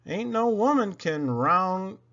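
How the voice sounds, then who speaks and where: A man's voice: high-pitched, lively intonation, slow pace, a bit bright, muffled recording, voice pushed up, heavily acted. A man voicing a giant, tough old lumberjack from a storybook poem, boastful and folksy with a backwoods drawl. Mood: defiant, wistful.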